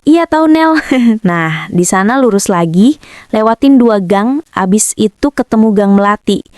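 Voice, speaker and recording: A woman's voice: medium pitch, expressive, unhurried, darkish tone, no room sound, studio quality, natural voice. A woman voicing a bossy, condescending teenage schoolgirl in a cartoon. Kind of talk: cartoon voice acting.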